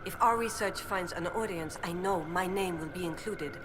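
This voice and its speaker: Fantasy game role: actress as earnest elf research assistant, female, young, lower register, scholarly and precise, noisy backdrop. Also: moderate pace, close to an everyday voice. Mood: earnest, guarded. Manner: precise, scholarly, thoughtful delivery. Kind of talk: video game voice acting.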